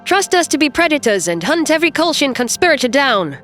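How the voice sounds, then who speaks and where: A woman playing a composed human starship captain in a sci-fi audio drama, earnest, measured and persuasive. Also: high voice, very animated, a bit bright, faint music behind. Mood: reasoned, firm. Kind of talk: audio drama character acting.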